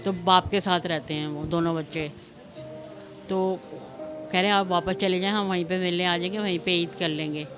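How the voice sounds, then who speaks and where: Low-voiced middle-aged Pakistani woman, rambling over music. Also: fairly even intonation, moderate pace. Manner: rambling and repetitive, retelling reported conversations. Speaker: interviewee recounting her own life. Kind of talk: spontaneous personal account. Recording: music laid under the voice.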